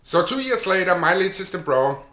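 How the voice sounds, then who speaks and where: Bright-voiced Austrian man telling his story. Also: high-pitched, big pitch swings, moderate pace, roomy sound. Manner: chatty, repeats words and restarts with many uh's. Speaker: online marketer. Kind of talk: casual personal story to camera.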